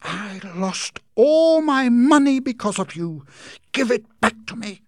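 A man voicing a fierce, indignant older woman in a storybook reading, speaking in a high, shrill, forceful way. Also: huge pitch swings, moderate pace, gravelly, husky, no echo, studio quality, heavily acted. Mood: furious.

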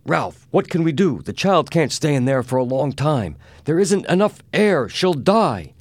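A man's voice: on the high side, very animated, unhurried, no room sound, voice pushed up. A man voicing a desperate older banker and father with a trembling manner of speaking.

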